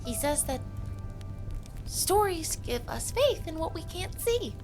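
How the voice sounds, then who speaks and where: A woman's voice: very high voice, sing-song, slow pace, music in the background, heavily acted. A woman voicing a timid, kind young magical creature in a family audio drama, hesitant and earnest. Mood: gentle, earnest. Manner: stammering, hesitant.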